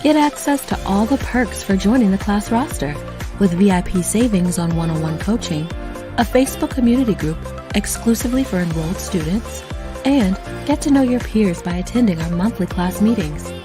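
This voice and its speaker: A woman's voice: mid-range voice, lively intonation, normal speed. A woman giving a scripted, upbeat and polished promotional read as a coach. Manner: polished, upbeat sales delivery. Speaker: voice-over coach promoting own courses. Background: music.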